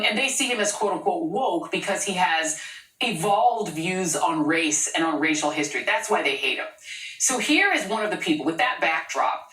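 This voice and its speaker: A woman's voice: fairly low pitch, pushed and bright. A woman anchoring a cable news show, delivering pointed, sarcastic commentary. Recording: roomy sound from a distant mic.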